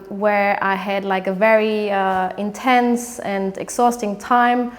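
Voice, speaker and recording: A German woman speaking English with a German accent, describing her photographic work in halting speech with many fillers and restarts. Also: medium pitch. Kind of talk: artist interview monologue.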